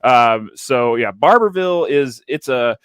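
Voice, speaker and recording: A man talks in a loose, rambling way on a podcast, swearing casually as he goes. His voice sits at an ordinary middle pitch. The close mic sounds dry, with no room in it. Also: lively intonation, unhurried, a bit bright, clear, resonant tone, no echo. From Kentucky, USA. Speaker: podcast co-host. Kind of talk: casual chat.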